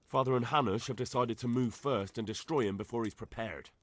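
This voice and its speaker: A man's voice: mid-range voice, brisk, dry recording, lightly acted. An actor playing an earnest young man bringing urgent news in a game cutscene, plain-spoken. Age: young adult. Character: earnest young man, a lord's son and messenger. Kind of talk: video game cutscene acting.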